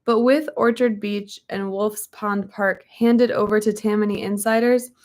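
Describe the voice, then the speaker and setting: Hoarse young woman, casual and rambling, reading a book aloud between chatty asides. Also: mid-range voice, fairly even intonation, measured pace, a little mellow. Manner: chatty, rambling, frequent ums and likes.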